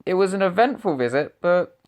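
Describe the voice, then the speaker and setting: A woman's voice: fairly low pitch, animated, brisk, a little mellow, lightly acted. A woman playing a wry ghost of a murdered male writer. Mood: sheepish. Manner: wry, sarcastic asides. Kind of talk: amateur audio drama acting.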